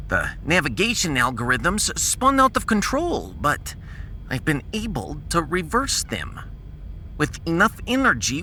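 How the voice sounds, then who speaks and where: A man's voice: sits high, bright, forceful tone, slight background noise, voice pushed up, exaggerated voice. A man playing a proud, pedantic scientist in a science fiction audio drama, long-winded and dropping his articles.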